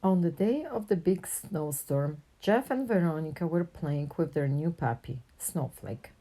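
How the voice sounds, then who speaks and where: Mellow woman reading a children's story aloud. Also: low voice, measured pace. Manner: animated character dialogue with plain narration. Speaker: picture book reader.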